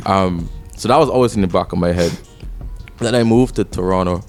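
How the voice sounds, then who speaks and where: A man's voice: low voice, normal speed, darkish tone, faint music behind. A young man, a rapper talking casually and reflectively as a podcast guest. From Barbados. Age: 20s. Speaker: rapper and songwriter interviewed as guest.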